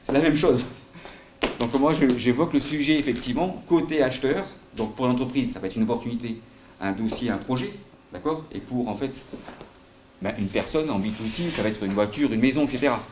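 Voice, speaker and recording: A man's voice: mid-range voice, a little fast, a little mellow. A middle-aged man with a light southern French accent giving a live sales talk at a conference, full of fillers and questions to the audience. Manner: hesitant, many fillers, asks the audience questions. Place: conference room. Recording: roomy hall with faint noise.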